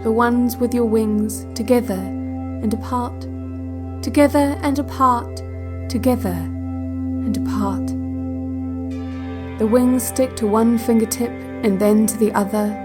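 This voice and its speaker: A woman playing a controlled, quietly ominous relaxation-cassette narrator, guiding a visualization in a measured, hushed, detached way over faint music. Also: a little high, fairly even intonation, close to an everyday voice. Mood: hypnotic, eerie. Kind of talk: slow guided visualization, scripted audio drama.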